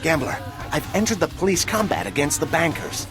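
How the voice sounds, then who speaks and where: An actor playing an eager, naive young robot hero in a video game, animated and inquisitive. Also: male voice, on the high side, a little fast. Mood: dutiful. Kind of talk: video game cutscene acting. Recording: music behind the voice.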